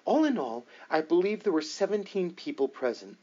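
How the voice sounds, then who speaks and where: A man voicing a composed, earnest woman psychic investigator in an audiobook, measured, matter-of-fact and assured. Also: a little high, very animated, warm tone, highs cut off. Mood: composed, explanatory. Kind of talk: audiobook dialogue reading.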